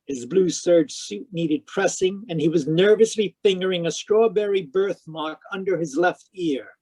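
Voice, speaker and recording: Man acting wry private detective, high male voice, deadpan wisecracks, old-time radio mystery style, dry close sound. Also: normal speed, husky, no echo. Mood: wry narrating. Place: live video-call performance, voice close and dry.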